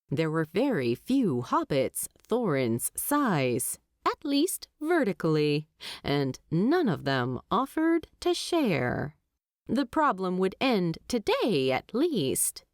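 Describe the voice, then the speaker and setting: A woman narrating fiction from a script as an audiobook narrator, in a measured, expressive storytelling manner. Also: mid-range voice, no echo, studio quality.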